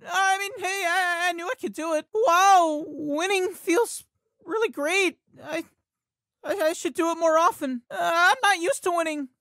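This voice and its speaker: A man's voice: very high voice, a little slow, pushed and bright, no echo, heavily acted. A man voicing a nervous, stammering teenage boy in a video game, tripping over and repeating his words, recorded on a studio microphone. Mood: jittery, excitable. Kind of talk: game voice lines.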